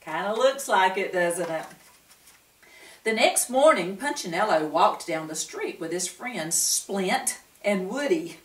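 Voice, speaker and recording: A woman reads a children's storybook aloud, slow and expressive, her voice rising and falling a lot as she asks the listeners questions. She sounds distant from the mic in a roomy, echoey space. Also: mid-range voice.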